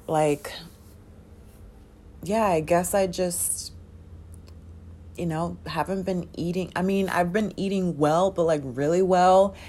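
A woman in her thirties hosting a casual solo podcast, relaxed and chatty with many fillers and soft laughs, her voice sounding sleepy. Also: low-pitched. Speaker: podcast host.

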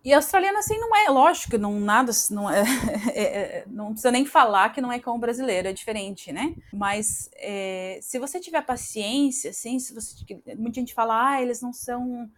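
A woman's voice: a little high, lively intonation, a little fast. Chatty Brazilian woman, podcast guest. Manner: chatty, frequent fillers and restarts. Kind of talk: casual interview conversation.

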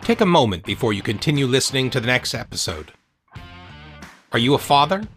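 A man narrating his own book as an author and podcast host, in an earnest, measured, motivational way. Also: mid-range voice, big pitch swings, a bit bright, faint music behind. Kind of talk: scripted audiobook narration.

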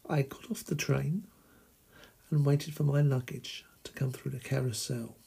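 Solo podcast host, man from England, middle-aged, slow deliberate delivery, gravelly husky voice. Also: medium pitch, steady tone, dark tone.

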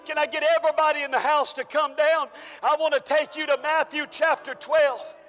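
High-voiced fervent man preaching in a hall. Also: level tone, brisk. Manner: preaching with shouted, fervent calls. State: losing his voice. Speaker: pastor. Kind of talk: sermon. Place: church hall.

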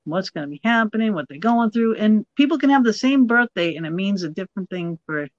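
Massachusetts lady with a rough voice, blunt and quick, dry close sound, kinda dull. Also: mid-range voice, expressive, no echo. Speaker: podcast host. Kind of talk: casual chat.

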